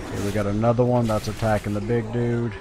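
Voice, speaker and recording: A man's voice: lowish voice, level tone, fast, over music. A man giving casual, playful gameplay commentary, talking to himself and to the game as he plays. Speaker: gaming video creator. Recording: game combat noise behind. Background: video game sound effects.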